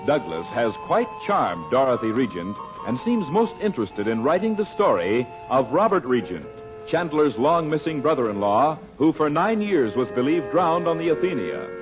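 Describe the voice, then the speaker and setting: Animated male announcer on muffled old radio. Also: mid-range voice, normal speed. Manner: formal, declamatory, polished salesmanship. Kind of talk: vintage radio scripted announcing and narration. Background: music.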